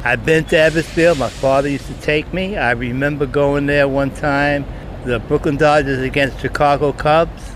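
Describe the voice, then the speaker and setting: A man's voice: on the high side, steady tone, normal speed. Older American man, faint noise behind. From United States. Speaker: interviewee sharing a memory. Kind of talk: casual reminiscence.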